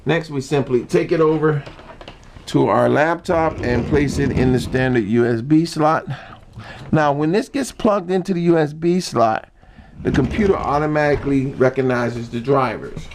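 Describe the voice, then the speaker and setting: A man giving an informal how-to demonstration, explaining step by step in a halting manner. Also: fairly high pitch, noisy background. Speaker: hobbyist demonstrator. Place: indoor room.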